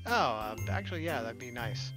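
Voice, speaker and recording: A woman's voice: very deep voice, big pitch swings, normal speed. A woman hosting a gaming video, reading a visual novel expressively with casual, offhand asides over game music.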